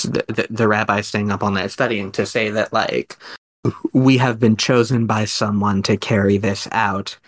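A man hosting a podcast, talking in a casual mock-scholarly way, halting and rambling as he works ideas out. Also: on the low side, a bit bright. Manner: halting, rambling, thinking aloud. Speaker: podcast host.

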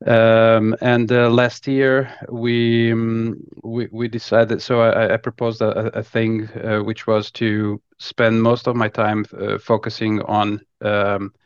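A man's voice: fairly low pitch, flat delivery, slow, few pauses, smooth, dry recording. A man, talking casually as an interview guest on dull, dry lo-fi audio. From Lisbon, Portugal. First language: Portuguese. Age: 40s. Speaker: podcast guest, technology executive.

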